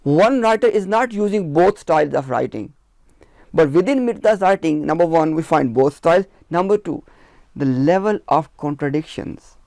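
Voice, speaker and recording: A man with a somewhat high voice lays out his argument point by point in a panel discussion. He speaks firmly and with emphasis, and the sound is dry and close. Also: expressive, moderate pace, creaky, a little mellow, no echo. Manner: methodical, counts points off one by one; emphatic, firm. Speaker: researcher of religious literature. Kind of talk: discussion panel, explaining at length.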